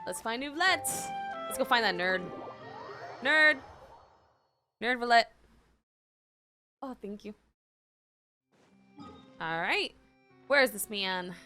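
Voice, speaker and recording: A woman with a high, very bright voice reacts casually to a video game. Her pitch jumps around as she exclaims in slang and playfully repeats herself. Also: lively intonation, slow pace, pauses often, soft background music. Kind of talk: casual excited reaction commentary.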